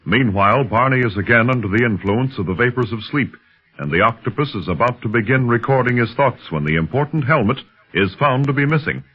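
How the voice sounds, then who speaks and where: A man narrating a 1930s radio adventure serial from a script, with a husky voice. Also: medium pitch, animated, a little fast. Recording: dull vintage 1930s radio recording. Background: music.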